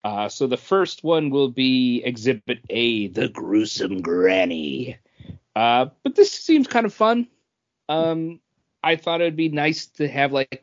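A man's voice: medium pitch, lo-fi sound. A man chatting informally about comic books as a bantering podcast co-host.

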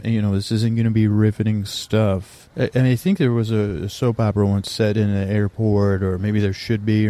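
Low gravelly man, languid rambling. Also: mellow. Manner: languid, meandering, self-interrupting. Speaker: sleep podcast host. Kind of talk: rambling bedtime monologue.

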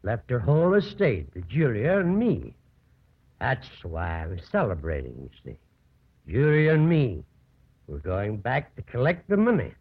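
A maudlin, drunken older father in a vintage radio play. His slurred speech drags along slowly and swings widely in pitch. The old broadcast sounds thin and narrow. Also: huge pitch swings, slightly rough, full character voice. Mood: tipsy, sentimental. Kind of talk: 1950s radio drama acting.

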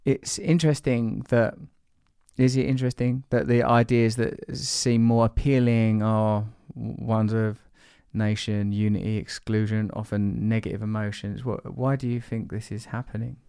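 A male interviewer poses long, formally phrased questions, pausing to search for the right words. His voice is low and warm with a smooth, resonant tone, recorded dry and close. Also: flat delivery, dry recording.

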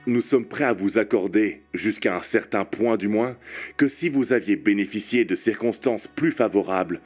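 An actor playing a stern judge addressing the accused in solemn, deliberate formal speech in a radio drama. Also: male voice, fairly low pitch, darkish tone, lightly acted. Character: stern male trial judge delivering judgment. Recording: dull lo-fi radio with music under.

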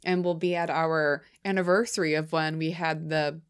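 A woman's voice: low voice, steady tone, moderate pace, smooth. A woman with a New Hampshire accent, co-hosting a spooky podcast in casual chat, giggly and rambling and tired. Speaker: podcast co-host.

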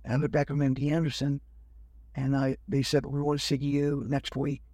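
Older man, husky voice, dry close sound, animated storytelling, quotes others. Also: medium pitch, normal speed, dry recording. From United States. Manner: animated, acts out other people's lines. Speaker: guest. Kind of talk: storytelling in an interview.

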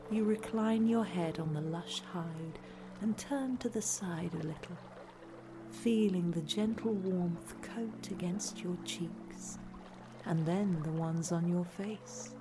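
A woman reading a scripted guided relaxation in a soothing, hushed, deadpan way. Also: on the low side, takes it slowly. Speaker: narrator, reader. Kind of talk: scripted guided relaxation narration, parody meditation. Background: music.

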